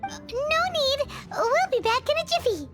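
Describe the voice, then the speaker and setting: A woman voicing a small, excitable, childlike fairy companion in a video game, with a chirpy, squeaky delivery. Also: a little slow, loud music behind the voice, exaggerated voice. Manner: squeaky, chirpy, refers to self in third person.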